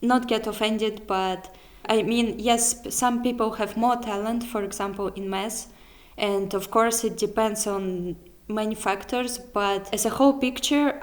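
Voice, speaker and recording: Young woman vlogger, mid pitch, just chatting casually and correcting herself. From Kazakhstan. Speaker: vlogger, software developer. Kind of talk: casual chat to viewers.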